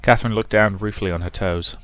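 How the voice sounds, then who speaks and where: A man narrating a visual novel aloud in an expressive storytelling style as a let's player. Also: lowish voice, quick pace, dry recording.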